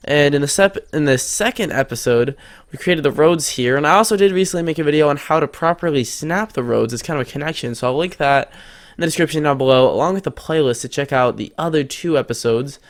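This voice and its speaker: A man's voice: on the high side, fast talker. Stuffy-nosed teen guy narrating a tutorial. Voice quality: slightly congested. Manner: chatty, rambling, thinks aloud while building. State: stuffy nose from allergies. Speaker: game tutorial host. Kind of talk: casual screen-recorded tutorial.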